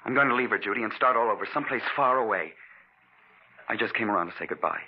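An actor playing a restless, scheming husband in an old-time radio mystery, with earnest, emphatic delivery. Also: male voice, fairly low pitch, expressive, quick pace, breathy edge. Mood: urgent, resolved. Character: scheming discontented husband, restless, smooth-talking man. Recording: muffled vintage radio recording.

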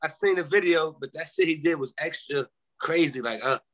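Quick, bright-voiced man on a panel. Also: on the high side, rough recording. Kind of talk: casual live online panel chat.

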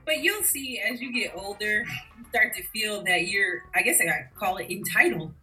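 A woman's voice: medium pitch, moderate pace, bright, forceful tone, faint music behind, rough recording. A woman in her forties chatting as co-host, laughing often and telling stories with animation. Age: 40s. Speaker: podcast co-host. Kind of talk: casual chat recapping a TV episode.

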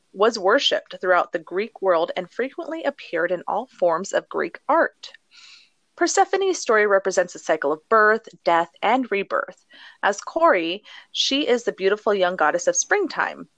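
A giggly woman co-hosting a relaxed podcast, reading from her notes between laughs. Her voice swings lively up and down in pitch. The sound is dry and close, with no room echo. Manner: giggly, reads notes aloud. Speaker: podcast co-host. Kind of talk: casual chat.

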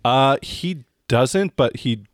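A man narrating a tabletop role-playing game as game master, improvising and often pausing to search for words. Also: medium pitch, lively intonation, no echo, clean studio sound.

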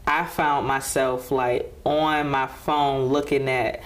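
Low-voiced young woman, lively podcast guest. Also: takes it slowly. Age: young adult. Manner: lively, laughs often, hypes people up. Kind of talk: casual podcast conversation.

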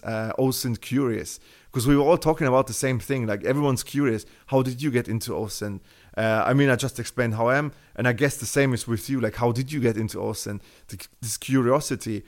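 A man from Germany with a German accent, speaking as a podcast guest in a casual interview, rambling with many fillers and restarts. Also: medium pitch.

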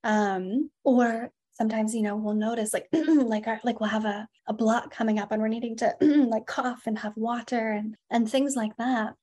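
A woman in her thirties speaking as a podcast guest, in a soft, flowing way with many fillers and drawn-out words. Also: fairly high pitch, fairly even intonation. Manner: soft, emphatic, lots of "like" and "you know", drawn-out words. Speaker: podcast guest, feminine embodiment coach. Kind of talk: flowing spiritual podcast talk.

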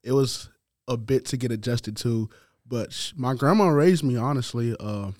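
A man in his twenties from Haiti, a college football player answering interview questions in a soft-spoken, halting way. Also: mid-range voice, no room sound, studio quality. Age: 20s.

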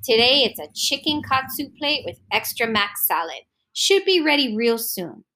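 Brief: woman performing older Hawaiian uncle, Pidgin accent, gruff, teasing, commanding. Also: a little high, big pitch swings, normal speed, sharp tone, slight background noise, pitched above the performer's own voice. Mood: bossy. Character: bossy, caring older Hawaiian uncle, man. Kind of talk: audiobook character voice.

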